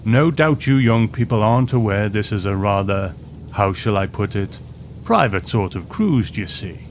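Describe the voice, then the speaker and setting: A man voicing a fussy, politely snobbish elderly gentleman in a storybook reading. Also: lowish voice, lively intonation, moderate pace, slight background noise. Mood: flustered, evasive.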